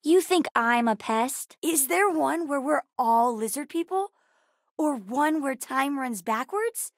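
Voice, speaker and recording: A woman voicing a bright, bookish, spirited young girl in a video game, talking in an earnest, chatty, childlike way. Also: high-pitched, expressive, slow, no room sound, studio quality, full character voice. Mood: chatty, eager. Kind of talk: acted video game character dialogue.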